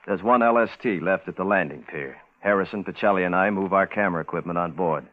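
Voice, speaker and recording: An actor playing a steady, pragmatic military team leader, clipped and matter-of-fact, in 1950s radio drama style. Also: male voice, low-pitched, fast, lightly acted. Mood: grim, terse. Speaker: lead actor and narrator. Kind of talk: 1950s radio drama acting, terse log narration. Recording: noisy, tinny 1950s radio recording.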